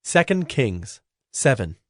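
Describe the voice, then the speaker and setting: A man narrating a dramatized scripture reading in a measured, solemn manner. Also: medium pitch, very animated, dry recording, clean studio sound. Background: soft background music.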